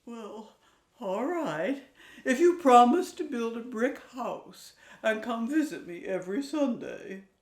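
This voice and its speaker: A woman voicing a fretful old mother sow who pleads in a high, quavering, tearful voice. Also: expressive, slow pace, darkish tone, echoey room. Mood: worried.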